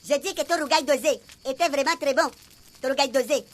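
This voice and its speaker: A woman's voice: sits high, brisk, natural voice. A woman playing an earnest, naive African man in a comic radio serial, speaking in a repetitive, muddled way. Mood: eager, muddled.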